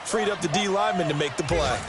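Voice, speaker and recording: A man's voice: sits high, fast, over a noisy crowd, close to an everyday voice. A man playing a chatty, opinionated American ex-player football analyst, explaining plays in a folksy, emphatic, conversational way. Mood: animated, opinionated. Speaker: color commentator.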